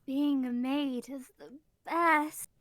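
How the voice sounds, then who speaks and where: A woman puts on an extremely high, piercing anime youngster for a comic dub. The character is theatrical, swinging between glee and whining, and takes the words slowly. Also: fairly even intonation, fairly bright tone, dry recording. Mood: gleeful. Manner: theatrical, exclamatory.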